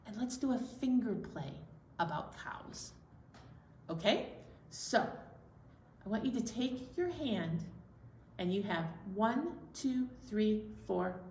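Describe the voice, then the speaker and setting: A woman's voice: medium pitch, a little hiss or hum. A woman hosting story time for young children, playfully giving simple, repeated instructions. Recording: echoey room, distant mic.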